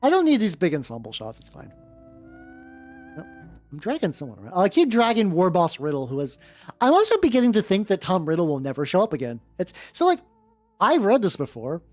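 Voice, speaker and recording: A woman livestream host with a very lively, swooping pitch. She chats casually, stumbles, repeats herself and wanders off into asides as she reads fan fiction aloud. Music plays behind her. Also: brisk. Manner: rambling, stammering, repeats words and breaks off to comment. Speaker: vtuber streamer host. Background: background music.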